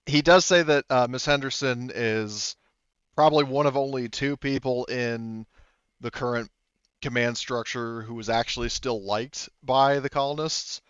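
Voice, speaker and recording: A man's voice: mid-range voice, measured pace, fairly bright tone, clear, resonant tone, no room sound, muffled recording. A man running a tabletop roleplaying game, loosely narrating and relaying what characters say in an improvised way. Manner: loose, relays characters' speech in third person. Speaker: game master.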